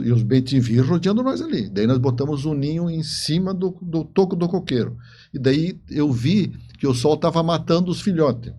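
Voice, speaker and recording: A man, middle-aged, with a southern Brazilian accent, telling stories conversationally in a halting way, repeating words as he goes. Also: medium pitch, warm tone, slightly rough. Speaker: interview guest, writer. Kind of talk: conversational storytelling.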